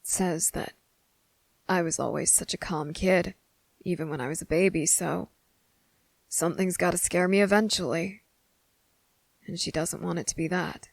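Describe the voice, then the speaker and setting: Woman voicing a guarded, gravelly-voiced young boy, hushed. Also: on the low side, fairly even intonation, dry recording. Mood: quiet, reflective. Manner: hushed, halting, pauses mid-thought. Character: young boy, guarded and fed up, confronting a hidden monster. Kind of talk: ASMR roleplay monologue.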